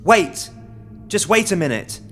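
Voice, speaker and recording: A man voicing a pleading, quick-witted young retail worker turned thief in an audio drama, explaining and arguing his case. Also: high-pitched, lively intonation, a bit bright, soft background music, pitched above the performer's own voice. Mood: urgent persuading. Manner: explanatory, argumentative. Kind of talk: audio drama character reading.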